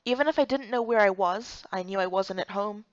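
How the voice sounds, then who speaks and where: A woman's voice: medium pitch, fairly quick, no echo, lo-fi sound. A woman reading scripted first-person story narration for a game playthrough.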